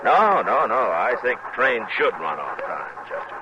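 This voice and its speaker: An actor playing a stoic, gruff American frontier marshal with a dry, terse, husky delivery in a western radio drama. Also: male voice, medium pitch, very animated, a little slow. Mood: exasperated. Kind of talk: 1950s western radio acting. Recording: muffled old radio broadcast.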